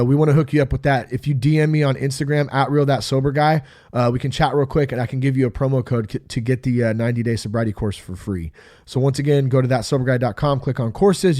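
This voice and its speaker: A man's voice: mid-range voice, a little mellow, no room sound. Casting: stuffy-nosed man in his thirties, easygoing podcast host, dry close sound. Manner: laid-back, rambling with fillers. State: congested, sick. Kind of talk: casual podcast chat.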